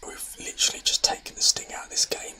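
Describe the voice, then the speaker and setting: A gamer, whispering nervous, exasperated commentary over a football management game, close to the mic. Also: fast. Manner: whispering throughout, nervy and exasperated.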